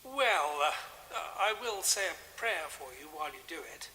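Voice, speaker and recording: An actor playing a mild, nervous, kindly village vicar in an audio drama, hesitant and politely doubtful. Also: male voice, fairly high pitch, animated, normal speed, bright, forceful tone, a little hiss or hum, rough recording.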